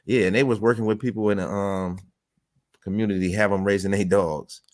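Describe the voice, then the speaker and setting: A man in his forties with a low voice co-hosts a relaxed interview. He recounts what he has read in a rambling, hesitant way and hunts for words as he goes. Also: a little fast. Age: 40s. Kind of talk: casual conversational interview.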